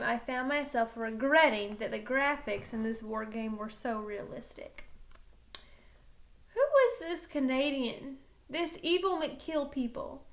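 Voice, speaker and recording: A woman reading a children's novel aloud in an animated, dramatic storytelling manner. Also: high-pitched. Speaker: teacher reading a book aloud.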